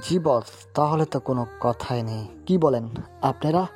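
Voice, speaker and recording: A man's voice: medium pitch, moderate pace, a little mellow, natural voice. A man playing a loyal, deferential henchman who advises his boss in an audio story. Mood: eager, deferential. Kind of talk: amateur Bengali audio-story acting.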